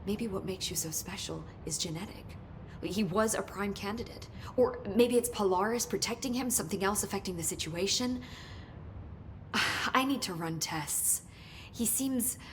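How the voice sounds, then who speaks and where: An actress playing an earnest research scientist in a video game, thinking aloud in a measured, analytical way. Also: female voice, medium pitch, a little hiss or hum, close to an everyday voice. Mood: thoughtful, concerned. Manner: thoughtful, measured speculation. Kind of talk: video game cutscene acting.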